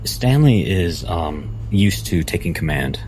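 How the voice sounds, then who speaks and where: Male tabletop player describing his character's actions, extremely low voice, deliberate phrasing, music bed clearly underneath. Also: sing-song, darkish tone. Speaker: tabletop role-playing player. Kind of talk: narrating own character's actions in a tabletop game.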